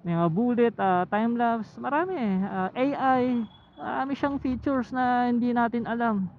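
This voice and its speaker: A motovlogger with a Filipino accent chats casually and thinks aloud in short halting phrases, speaking through a helmet intercom while riding. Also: medium pitch. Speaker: motovlogger reviewing camera gear. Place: outdoors, riding a motorcycle. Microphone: motorcycle helmet intercom headset. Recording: tinny helmet intercom mic, road noise.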